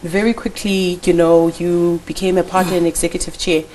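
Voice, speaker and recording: A woman's voice: on the low side, little pitch movement, a little fast, a little mellow, poor audio. Woman, TV talk host, engaged interviewer, frequent mm-hmms, faint background noise. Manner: engaged, encouraging, frequent murmured agreement. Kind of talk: studio interview.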